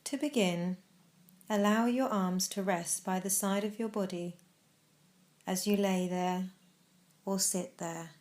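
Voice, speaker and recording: A woman narrating a guided mindfulness meditation, giving gentle, measured instructions with pauses. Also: mid-range voice.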